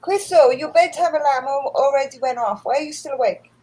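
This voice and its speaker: A middle-aged woman with a brisk, motherly manner, talking casually on a group call. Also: high-pitched, mellow, poor audio.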